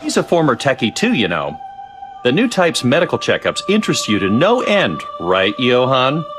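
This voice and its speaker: An actor playing an eccentric, cheerful scientist in a video game cutscene, theatrical and gleeful with precise phrasing. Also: male voice, mid-range voice, huge pitch swings, moderate pace, music in the background. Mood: teasing. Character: eccentric, cheerful male scientist, giddy about data.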